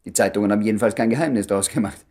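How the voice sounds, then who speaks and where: An actor playing a concerned, familiar doctor friend in a German radio play, speaking in a dry, measured, understated way. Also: male voice, fairly low pitch, dark tone, natural voice. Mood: cautious, probing.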